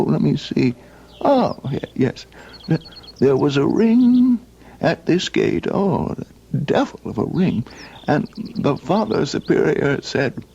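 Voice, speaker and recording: An actor playing a slow, excitable old monastery brother, stammering and halting in old-time radio drama style. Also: male voice, high voice, vocal fry, husky, full character voice. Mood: awed, dramatic. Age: older. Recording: dull, noisy vintage radio recording.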